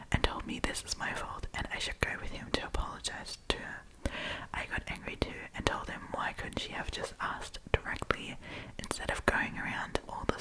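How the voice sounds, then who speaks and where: A woman whispers throughout in an ASMR storytime style, close to the mic. She reads and comments like a chatty host, with an informal, giggly manner. Her voice stays hushed and breathy, without full voicing. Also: rough recording. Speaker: host reading Reddit stories and commenting.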